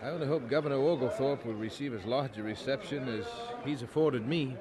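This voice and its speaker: An actor playing a gracious, weary young preacher in an audio drama, courteous, devout and earnest in manner. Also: male voice, medium pitch, brisk, natural voice. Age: young adult.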